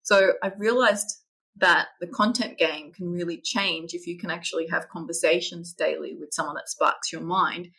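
A woman hosts a podcast in a lowish, husky voice with a bright edge. She talks enthusiastically and rambles, speeding up and slowing down as she thinks aloud. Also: fairly even intonation, low-quality recording. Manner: enthusiastic, rambling, uneven pace. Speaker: podcast host. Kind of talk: casual interview talk.

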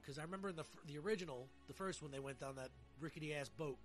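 A man's voice: a little high, rapid-fire, faint music behind. A man hosting a podcast in casual, rambling banter, joking and swearing freely. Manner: jokey, profane, rambling. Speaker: podcast host.

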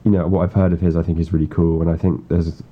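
A man's voice: low voice, flat delivery, quick pace. A young man in casual chat, curiously asking probing questions. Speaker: interviewer. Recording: muffled, dull sound.

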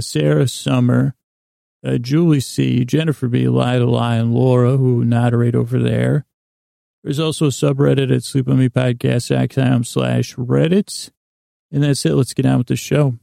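A man hosting a bedtime sleep podcast, rambling in a halting, soothing way with a gravelly, creaky voice. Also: mid-range voice, dark tone, no room sound, studio quality. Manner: meandering, halting, soothing, self-interrupting. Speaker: podcast host.

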